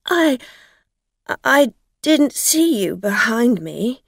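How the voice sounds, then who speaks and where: A woman's voice: medium pitch, sing-song, drawn-out words, gravelly, a little mellow, no room sound, studio quality, full character voice. A woman voicing a tipsy, frightened woman driver in an audio story, hesitant, stammering and pleading. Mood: afraid, pleading. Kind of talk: acted dialogue in an audio story.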